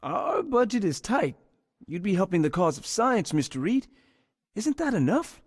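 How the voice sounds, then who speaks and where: A man's voice: high-pitched, sing-song, moderate pace, slightly rough, no room sound, clean studio sound, exaggerated voice. An actor playing an earnest university scientist in a video game, polite and a little hesitant as he explains things. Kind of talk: video game dialogue acting.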